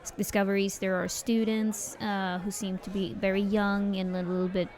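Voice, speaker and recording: A woman narrating as game master in a tabletop role-playing game, chatty and quick to laugh. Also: medium pitch, flat delivery, a little mellow.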